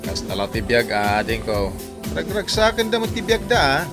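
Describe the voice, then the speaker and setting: An actor with an Ilocano accent playing a playful, easygoing older-brother figure who teases in a village radio drama. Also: male voice, medium pitch, big pitch swings, normal speed. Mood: amused, teasing. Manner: playful, chuckling, exaggerated swoops. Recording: loud music bed behind the voice.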